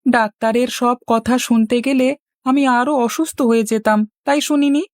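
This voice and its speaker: A woman's voice: fairly high pitch, fairly even intonation, moderate pace, no echo, studio quality, lightly acted. A woman voicing a cheeky, boastful young village boy in a children's cartoon, with cocky back-talk. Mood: cocky, teasing.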